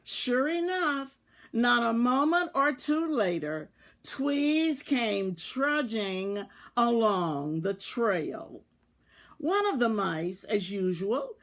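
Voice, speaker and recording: Casting: female children's story reader, unhurried and deliberate, with lively, expressive intonation. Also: on the high side. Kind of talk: expressive children's read-aloud narration.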